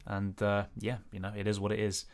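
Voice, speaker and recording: Young man, raspy, lower register, casual live-stream Q&A host. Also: completely flat delivery, brisk. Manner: casual, hedging, many fillers. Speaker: fantasy football streamer. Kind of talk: live stream Q&A, reading chat.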